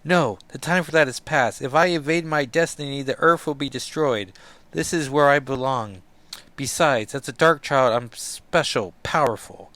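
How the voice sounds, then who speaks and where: A man's voice: mid-range voice, normal speed, a bit bright, no echo, lightly acted. A man doing a sorrowful young sorceress. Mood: resigned. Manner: solemn, resigned. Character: sorrowful teenage sorceress queen.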